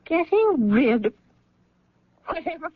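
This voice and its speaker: An actress playing a genteel, possessive, high-strung mother of grown children in a radio drama, fussy and emphatic. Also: big pitch swings, takes it slowly, no room sound, exaggerated voice. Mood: indignant, agitated. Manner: fussy, emphatic, easily agitated. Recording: muffled vintage radio drama recording.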